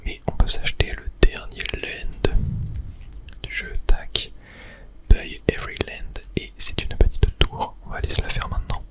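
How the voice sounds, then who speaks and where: A gamer giving softly whispered ASMR commentary on a video game, with small clicky interjections. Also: a little slow. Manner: soft unvoiced whispering with small mouth-sound interjections. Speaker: gaming ASMR creator.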